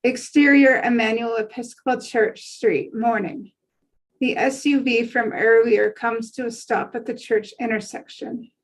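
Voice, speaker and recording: Female script narrator; unhurried, even delivery of stage directions, voice a little high. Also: poor audio.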